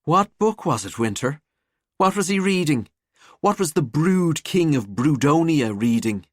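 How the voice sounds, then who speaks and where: A woman's voice: low voice, expressive, a little slow, dry recording, studio quality, voice pushed up. A woman voicing a cheeky teenage schoolgirl in an audiobook, teasing and mock-dramatic. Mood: gleeful teasing.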